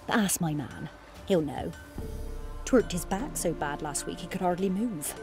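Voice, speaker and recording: An actress playing a gossipy, complaining village wife in a fantasy game, with a rustic manner and a British accent. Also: female voice, fairly low pitch, lively intonation, unhurried, over music. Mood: grumbling, chatty. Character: nagging, gossipy peasant wife. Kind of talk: video game voice acting.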